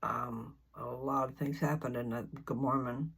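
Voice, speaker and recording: An older speaker chatting casually and informally in a folksy, meandering way, often halting to search for words. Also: medium pitch, fairly even intonation, gravelly, a bit bright, slightly rough, low-quality recording. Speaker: host of a scripture reading channel. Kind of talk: rambling casual chat before a reading.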